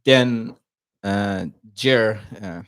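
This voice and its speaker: A man's voice: on the low side, extremely slow, a bit bright, clean tone. A man from Iran with a Persian accent, a podcast guest telling anecdotes casually in a joking way, often laughing through his words.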